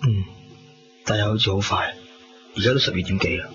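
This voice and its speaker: Low-voiced young Hong Kong lover, acted by a man. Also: steady tone, a little fast, lightly acted. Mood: affectionate, playful. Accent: Hong Kong Cantonese. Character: young man in love, tender and wistful. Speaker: radio drama actor and narrator. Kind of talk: 1990s radio drama dialogue and narration. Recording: dull lo-fi radio recording with music. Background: prominent music.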